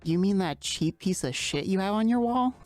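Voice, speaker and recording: A woman's voice: mid-range voice, animated, normal speed, darkish tone, no echo, lightly acted. A woman voicing a skeptical, exasperated teenage boy in a fan-dubbed comic chat, dry, wordy and argumentative. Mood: dismissive, uneasy. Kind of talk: fandub of a webcomic chat. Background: music.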